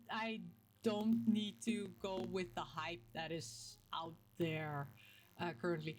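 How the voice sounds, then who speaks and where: Chatty Dutch-accented woman, playfully emphatic. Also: medium pitch. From Netherlands. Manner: animated storytelling with self-quotes and playful emphatic repetition. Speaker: video game vlogger and host. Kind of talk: casual, chatty talk to camera.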